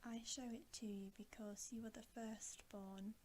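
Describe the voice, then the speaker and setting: A woman voicing a great, calm, royal male lion in a soft, grave whisper for a bedtime storybook. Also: fairly high pitch, measured pace, slight background noise, natural voice, rough recording. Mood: grave, regal. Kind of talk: bedtime ASMR storybook reading.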